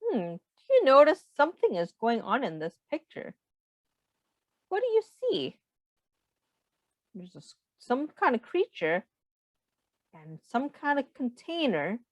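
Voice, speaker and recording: A woman's voice: high-pitched, wildly swooping pitch, measured pace, long pauses, no echo, muffled recording. A woman reading a picture book aloud to young children, patiently explaining and asking simple questions like a teacher.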